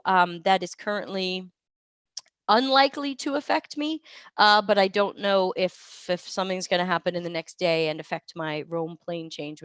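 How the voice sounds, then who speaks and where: A woman's voice: mid-range voice, fairly bright tone, no echo, studio quality. A woman who is sick with a cold, hosting a live Q&A stream, chatty and rambling, often stopping to correct herself. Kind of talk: casual live Q&A. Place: hotel or temporary room while travelling.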